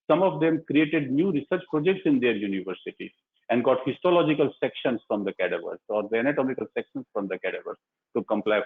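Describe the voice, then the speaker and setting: A man delivering a prepared launch speech as a surgeon and author, speaking deliberately in short, emphatic phrases. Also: mid-range voice. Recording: muffled, phone-like narrow-band online call audio.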